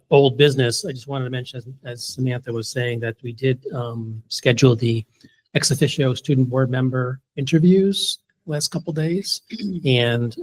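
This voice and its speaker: A man's voice: medium pitch, darkish tone, rough recording. A man chairing a school board meeting, calling on members by first name in an informal way. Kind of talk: casual meeting chat.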